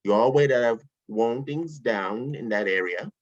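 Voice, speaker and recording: A man's voice: mid-range voice, measured pace, natural voice. A man reading a breezy, casual billionaire in an online table read, with a loose, casual delivery. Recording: dull lo-fi home mic.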